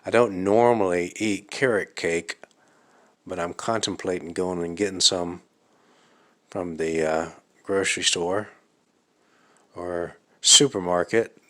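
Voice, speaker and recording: Man speaking slowly, slightly raspy voice. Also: low-pitched, fairly even intonation, fairly bright tone. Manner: slow, halting, thinking aloud with many fillers. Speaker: vlogger. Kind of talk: casual vlog monologue. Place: living room of an apartment at night.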